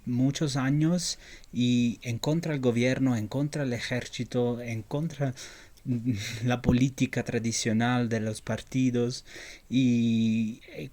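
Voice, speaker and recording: A young Italian man with an Italian accent speaking non-native Spanish in an interview, pausing often and repeating words as he searches for them. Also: mid-range voice, darkish tone. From Italy. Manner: halting, many repeated words and fillers. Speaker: interview guest, graduate researcher. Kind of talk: casual interview answers.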